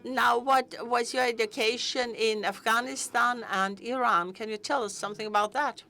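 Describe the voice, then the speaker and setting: A woman interpreting an interview into English on a conference stage, halting, with frequent fillers and restarts. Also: a little high, fairly even intonation, sharp tone. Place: conference hall.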